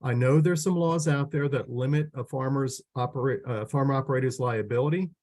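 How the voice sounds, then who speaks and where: Hoarse man giving a quick webinar talk. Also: medium pitch, darkish tone. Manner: quick, with frequent restarts and fillers. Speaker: extension educator. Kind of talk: webinar presentation.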